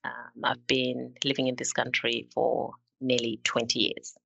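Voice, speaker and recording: A woman from Zimbabwe hosting a podcast panel discussion, speaking in a measured way with frequent fillers. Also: a bass voice, monotone, vocal fry, a bit bright, slight background noise. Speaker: podcast host.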